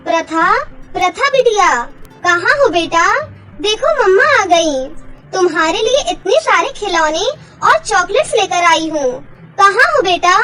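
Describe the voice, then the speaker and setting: A woman's voice: very high voice, lively intonation, unhurried, sharp tone, soft background music, exaggerated voice, low-quality recording. A woman voicing a kind, protective young fairy mother in an earnest, emphatic cartoon fairy-tale style. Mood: tender. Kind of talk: animated Hindi fairy-tale cartoon acting.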